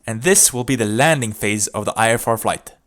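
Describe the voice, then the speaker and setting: A man's voice: lowish voice, fairly quick, fairly bright tone. A man presenting a tutorial, explaining procedures step by step in an instructional narration. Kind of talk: instructional video narration with demonstrated radio calls.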